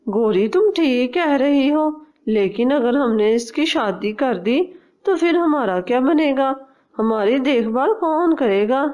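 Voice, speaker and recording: A greedy, harsh cartoon father bird, played by a woman in a fairly high voice. He talks slowly, with a blunt and scornful manner. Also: animated, a little mellow. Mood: pondering.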